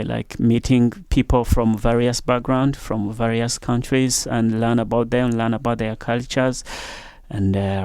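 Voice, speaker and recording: Hesitant man with a gravelly voice. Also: mid-range voice, darkish tone, no room sound. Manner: hesitant, many fillers and restarts. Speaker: graduate student guest. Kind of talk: interview answers.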